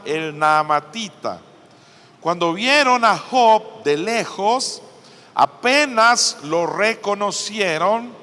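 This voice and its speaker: A man with a Costa Rican Spanish accent preaching to a conference in an emphatic way, with deliberate pauses. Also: fairly high pitch, sharp tone. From Costa Rica. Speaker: guest preacher at a church conference. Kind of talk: sermon with scripture reading and prayer. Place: large indoor church conference hall. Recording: long hall reverb from a PA system.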